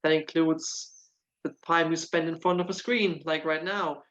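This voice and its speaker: A man's voice: on the high side, fairly even intonation, a little slow, a bit bright, highs cut off. A man from Germany with a German accent tinged with Irish, speaking earnestly as an interview guest and often repeating words while he searches for them. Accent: German with some Irish. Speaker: guest, nutritional therapist. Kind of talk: casual interview answers.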